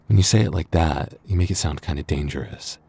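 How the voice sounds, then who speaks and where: A man's voice: very deep voice, darkish tone, heavily acted. A man voicing a smitten, flustered, playfully submissive young man in a boyfriend roleplay audio, stammering shyly and hesitantly. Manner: stammering, hesitant, breathy sighs and shy chuckles. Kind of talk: boyfriend ASMR roleplay acting.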